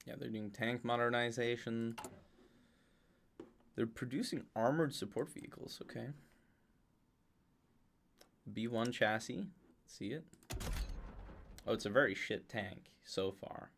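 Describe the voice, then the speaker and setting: A man's voice: mid-range voice, unhurried, vocal fry, pauses often, fairly bright tone, slight background noise. A man giving casual live commentary on a strategy game, thinking aloud as he goes.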